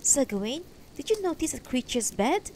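A woman voicing a gentle, protective elderly husband in a fantasy story, earnest and soothing. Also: high voice, very animated, moderate pace, a little hiss or hum, voice pushed up.

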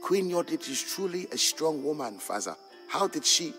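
A man puts on a wide-eyed young country boy for a game storybook. The voice is lifted in pitch, eager and questioning, with music playing behind it. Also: sing-song, normal speed. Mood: admiring. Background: background music.

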